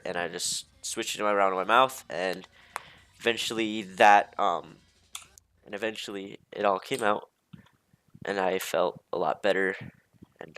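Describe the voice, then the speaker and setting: A young man telling personal stories in a casual, rambling way, halting and easily sidetracked, leaning heavily on filler words like "like". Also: deep voice, a bit bright. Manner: hesitant, halting, easily distracted, heavy use of "like". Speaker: storyteller.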